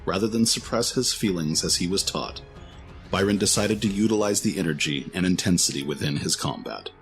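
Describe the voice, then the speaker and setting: A man narrates a fantasy tale from a script. His voice sits very low, and music plays behind him as he tells the story. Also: a little fast.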